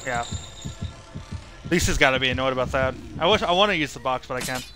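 A man's voice: mid-range voice, a little fast, sharp tone. A man giving casual live commentary as he streams a game, muttering mildly frustrated asides to himself. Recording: clear game noise behind voice. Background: horror game sound effects and ambience.

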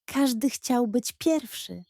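A woman's voice: on the high side, animated, slow pace, vocal fry, mellow, no room sound, studio quality. A woman narrating a scripted children's story expressively as a storyteller.

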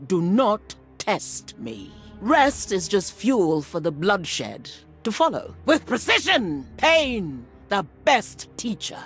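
A woman's voice: medium pitch, very animated, takes it slowly. A woman playing a proud, ruthless middle-aged general and warrior mother in a video game, with an imperious, menacing delivery. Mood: fierce, commanding. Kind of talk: dramatic video game voice acting. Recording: music under the voice.

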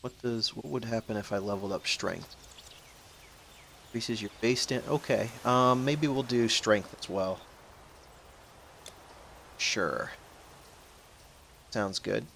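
A twenty-one-year-old man with a somewhat low voice chats loosely while he plays, drifting off topic and swearing under his breath at the game. A faint hiss sits under his home microphone recording. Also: slow pace, vocal fry, long pauses. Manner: rambling, chatty, swears at the game. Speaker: gaming channel host. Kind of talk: casual let's-play commentary. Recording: faint background noise, voice drops out when soft.

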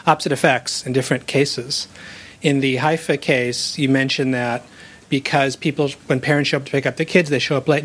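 A man's voice: medium pitch, a bit bright. Man, audience question, hesitant, many restarts, thinking aloud. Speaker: audience member asking a question. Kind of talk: question from the floor.

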